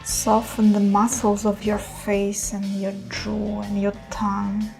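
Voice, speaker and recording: Slow, mellow woman guiding a meditation. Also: mid-range voice, completely flat delivery, creaky, faint music behind. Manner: soothing, unhurried, gentle pauses. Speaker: meditation guide. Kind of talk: guided meditation.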